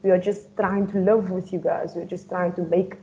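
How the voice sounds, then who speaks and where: Young woman, mellow low-ish voice, earnest, restarts, dull faintly hissy audio. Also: level tone, moderate pace. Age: young adult. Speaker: refugee student panelist. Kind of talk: roundtable discussion.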